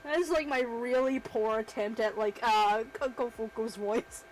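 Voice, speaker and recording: Brief: a chatty, self-deprecating woman streamer with a fairly high voice, slow and easygoing, with faint background noise. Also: steady tone, rough recording. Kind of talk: casual livestream chat while working.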